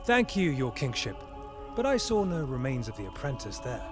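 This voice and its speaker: A man's voice: medium pitch, very animated, moderate pace, over music, lightly acted. An actor playing a bold, wry male warrior in a video game, terse and commanding. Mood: measured, wary. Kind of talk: video game character acting.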